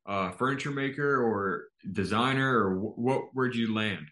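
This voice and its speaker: A man's voice: mid-range voice, normal speed, bright, forceful tone. A man hosting a podcast, asking friendly, loosely worded questions with restarts. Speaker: podcast host. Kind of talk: casual interview hosting. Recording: echoey room.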